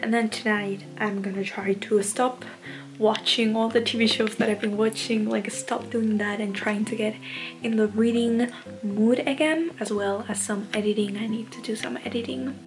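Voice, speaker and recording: Young woman, casual book chat, hushed at times, camera at arm's length, faint music behind. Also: fairly high pitch, steady tone, normal speed. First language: Spanish. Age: young adult. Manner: chatty, candid, often soft and hushed. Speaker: book vlogger. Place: bedroom at home. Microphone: on-camera mic, camera at arm's length.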